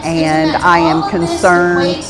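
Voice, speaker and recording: Retired teacher in her sixties, low-voiced, earnest and measured, with loud music behind. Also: very animated, creaky. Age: 60s. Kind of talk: street interview at a protest. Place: outdoors at a street rally.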